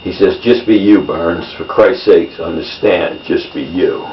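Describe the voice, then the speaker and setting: A man reading his own poems in a measured delivery, in short phrases. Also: low-pitched. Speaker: poet. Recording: roomy, distant mic, dull sound, faint noise.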